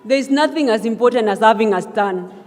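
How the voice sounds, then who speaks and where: A woman's voice: on the high side, animated, a little fast, darkish tone. Young woman giving an emphatic speech in a reverberant hall. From South Sudan. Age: young adult. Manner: earnest and emphatic, rising to calls of thanks. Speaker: newly elected student association leader. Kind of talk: acceptance speech at a swearing-in ceremony. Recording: long reverb of a large hall.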